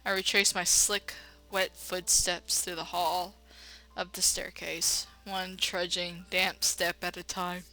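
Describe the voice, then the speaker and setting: A woman's voice: lowish voice, flat delivery, slow, sharp tone, poor audio. A woman reading a game dramatically and adding casual, slangy, irreverent commentary as a let's play host.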